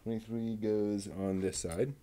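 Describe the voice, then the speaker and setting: A man giving casual running commentary while he builds a model kit, talking slowly and methodically and half to himself. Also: lowish voice, steady tone, dark tone. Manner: muttering to himself, slow and methodical.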